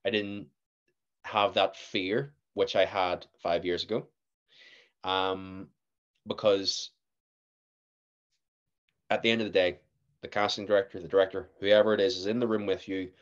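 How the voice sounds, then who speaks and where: A young man with a Northern Irish accent, a guest actor telling stories in a casual podcast chat, animated and laughing often. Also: low-pitched, a little slow, long pauses, muffled recording. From Northern Ireland.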